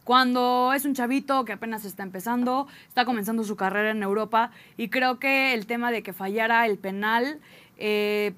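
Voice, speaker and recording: Higher-voiced Mexican woman, casual sports chat. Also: steady tone, normal speed, a bit bright. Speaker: sports panelist.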